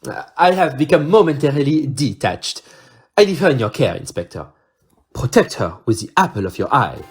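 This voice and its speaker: A performer playing a courteous, shrewd Belgian male detective with a French-speaking Belgian accent, husky voice and formal, theatrical manner in an audio play. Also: medium pitch, lively intonation, moderate pace. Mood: excited. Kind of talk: amateur audio play acting.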